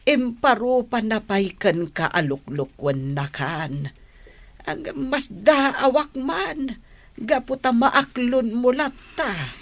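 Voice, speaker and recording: A woman's voice: a little high, animated, takes it slowly, full character voice. A woman acting a worried, loving older mother admonishing her child in an Ilocano Filipino radio drama. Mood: pleading, admonishing.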